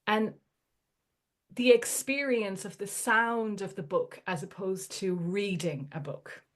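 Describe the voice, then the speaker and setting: A woman hosting a podcast, asking an interview question in a slow, measured way. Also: medium pitch. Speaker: podcast host.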